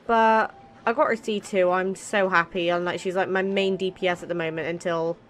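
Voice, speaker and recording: A woman's voice: fairly low pitch, fairly even intonation, moderate pace, slight background noise. A woman giving casual, chatty, cheeky let's play commentary. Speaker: gaming streamer.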